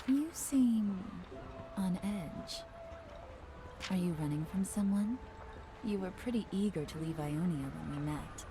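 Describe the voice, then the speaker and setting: A woman's voice: medium pitch, animated, measured pace, long pauses, noisy background, lightly acted. A woman playing a composed, secretive traveller in a video game, coy and self-assured. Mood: cool, guarded. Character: poised, secretive young woman traveller hiring a bodyguard. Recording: music behind the voice.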